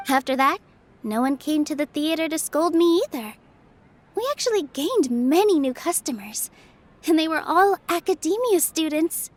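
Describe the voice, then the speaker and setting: A woman playing a gentle, earnest, polite young dancer in a video game. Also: sits high, lively intonation, moderate pace. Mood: thoughtful, earnest. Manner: polite, soft-spoken.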